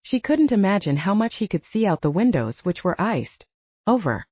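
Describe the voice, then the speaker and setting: A woman audiobook narrator reading scripted fiction in an expressive storytelling manner. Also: mid-range voice, normal speed, husky, dry recording, lo-fi sound.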